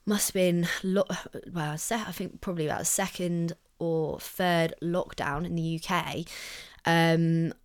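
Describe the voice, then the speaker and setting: A young woman chats through her solo podcast with a slightly low, husky voice that is croaky from illness. Under the rasp her tone stays resonant and smooth, and her delivery is loose, upbeat and full of casual swearing. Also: steady tone, unhurried. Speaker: podcast host.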